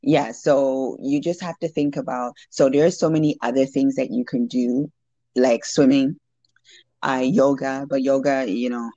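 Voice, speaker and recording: A woman's voice: extremely low pitch, fairly even intonation, measured pace, mellow, no room sound. Chatty woman in her forties, dull dry sound. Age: 40s. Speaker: nurse and guest. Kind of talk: casual podcast chat.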